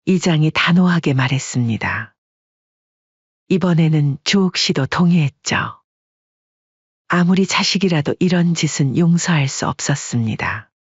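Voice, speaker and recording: A man narrating a story audiobook in a steady storytelling manner, on a dull, dry recording. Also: on the high side, measured pace, husky, no room sound.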